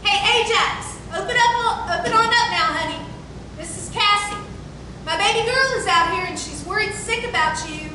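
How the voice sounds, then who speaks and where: A woman playing a protective, worried mother who pleads and gives orders in folksy Southern wording at a stage play reading. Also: moderate pace. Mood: coaxing. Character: protective, worried mother of a grown daughter, bossy. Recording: very echoey room from far off, rumbling noise.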